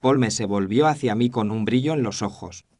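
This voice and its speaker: A man's voice: mid-range voice, moderate pace, a little mellow, lightly acted. A man narrating as a thoughtful doctor recounting a case in an audio drama, in a reflective, literary manner. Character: thoughtful male doctor narrating his memoir. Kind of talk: scripted narration.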